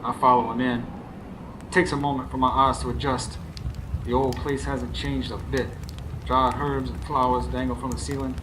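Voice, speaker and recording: A man's voice: mid-range voice, level tone, moderate pace, close to an everyday voice. A man playing a wry, uneasy young working man who narrates his own story in a live radio drama. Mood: wry storytelling. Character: narrator hero, nervous, skeptical, unschooled young working man. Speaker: narrator and lead character. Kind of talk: first-person radio drama narration and dialogue. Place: stage before a live studio audience. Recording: live stage recording with clear background noise.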